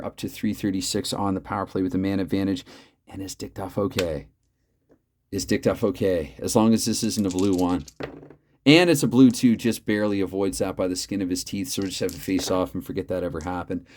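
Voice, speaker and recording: A man with a low, naturally loud voice calls a tabletop hockey game from home. He slips between mock arena play-by-play and casual muttering over the dice math, keeping his volume in check. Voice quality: loud voice, holding back. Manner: chatty, thinks aloud over the dice math. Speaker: host narrating a tabletop hockey replay.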